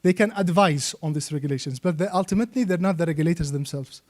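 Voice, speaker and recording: Male seminar trainer, high-set voice, soft mellow tone, explanatory, frequently checks that listeners follow. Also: fast talker. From Yemen. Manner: checks understanding with frequent "okay?" and "right?". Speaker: trainer and Islamic finance expert.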